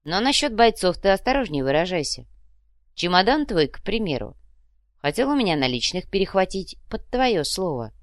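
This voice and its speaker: A wry, canny dealer in stolen goods read by a woman in a storybook style. He needles dryly and keeps an eye on the money, with lively intonation and a close, dry sound. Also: on the low side, normal speed, dry recording. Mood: dry needling. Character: shrewd, wry male trader and fence, money-minded. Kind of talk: audiobook character voice.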